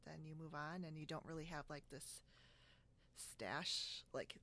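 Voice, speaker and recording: A woman hosting a podcast in a casual video-call chat, talking in a halting, giggly, chatty way. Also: sits low, sharp tone, no room sound. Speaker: podcast host. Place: indoors on a split-screen video call.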